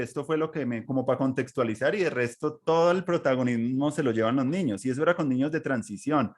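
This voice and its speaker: A Colombian man hosting a conversational interview over an online call, rambling as he thinks aloud. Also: medium pitch. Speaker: podcast host and interviewer.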